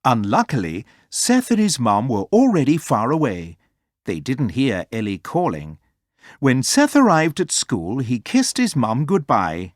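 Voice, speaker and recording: Children's storybook narrator, very expressive pitch, unhurried pace, dry close sound. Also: no echo. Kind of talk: children's story read-aloud.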